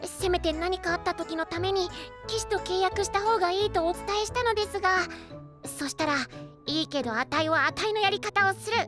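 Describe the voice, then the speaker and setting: A man's voice: extremely high pitch, a little fast. A man playing a small, childlike boy knight in a fantasy audio drama, polite, eager and easily flustered. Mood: polite, earnest. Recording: voice over background music.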